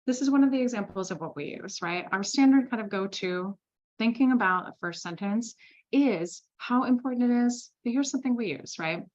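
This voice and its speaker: A woman from Switzerland presenting a sales masterclass in a lively, conversational way, often asking "right?". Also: on the high side, fairly quick, lo-fi sound. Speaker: sales trainer.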